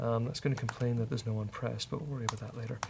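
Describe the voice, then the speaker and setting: A man's voice: medium pitch, fairly even intonation, racing, creaky, background noise. A man co-hosting a coding show, talking casually and hesitantly as he thinks aloud while working. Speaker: co-host coding on a software show.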